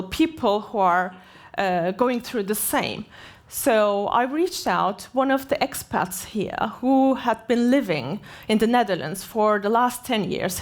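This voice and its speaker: Slow-talking woman in her forties from Turkey. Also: a little high. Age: 40s. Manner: halting, many fillers and restarts. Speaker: conference keynote speaker. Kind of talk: personal story keynote. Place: conference stage.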